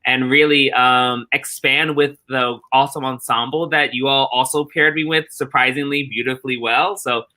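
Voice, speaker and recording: A man with a very bright, forceful voice at a medium pitch. He speaks as an earnest interview guest, deliberate and reflective, building points through rhythmic repetition. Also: fairly even intonation. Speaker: interview guest, theatre director.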